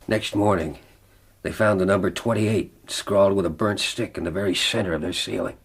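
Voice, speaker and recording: Rugged frontiersman, rough husky tone, lowish pitch, defiant, radio drama narrator and hero. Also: normal speed. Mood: brooding. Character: rugged frontier man in love, narrating his ordeal, defiant.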